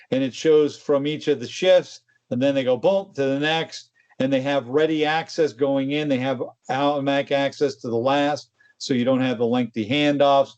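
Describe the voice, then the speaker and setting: A man's voice: mid-range voice, darkish tone, lo-fi sound. A man co-hosting a tech show, explaining in a casual, conversational way with informal asides. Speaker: co-host of a tech discussion show.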